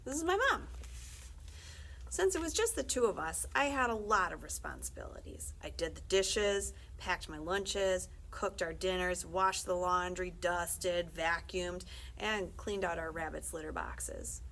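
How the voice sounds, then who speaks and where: A woman's voice: fairly low pitch, a little slow, vocal fry, a bit bright, low-quality recording. A woman reading a children's book aloud expressively for library story time, with asides to her listeners. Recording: faint background noise.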